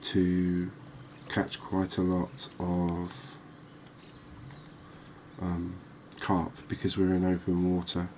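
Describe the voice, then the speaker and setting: A man giving quiet, cozy ASMR commentary on a game, unhurried and thinking aloud. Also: low-pitched, monotone, a little hiss or hum. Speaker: gaming video host.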